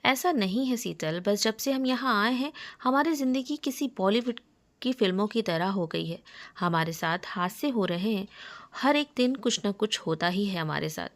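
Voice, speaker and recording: A woman voicing a troubled young college student in a romance story, polite but quick to indignation. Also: mid-range voice, animated, lightly acted. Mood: weary, complaining.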